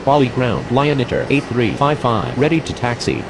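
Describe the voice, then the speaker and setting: Gravelly man acting as a courteous radio pilot. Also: medium pitch, normal speed, background noise, natural voice. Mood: courteous, procedural. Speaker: pilot and air traffic controller radio voice. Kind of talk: scripted aviation radio phraseology.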